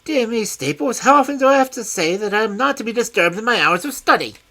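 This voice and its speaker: A reader voicing a small, frail, petulant older man with a high, shrill, screaming voice and imperious, peevish outbursts. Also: lively intonation, fast, pushed and bright.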